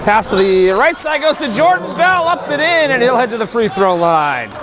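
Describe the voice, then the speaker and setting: A man giving live play-by-play of a high school basketball game, excitable and quick to jump up in pitch on big plays, with light jokes between calls. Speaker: play-by-play announcer. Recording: loud gym noise under commentary. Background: crowd and bouncing basketballs.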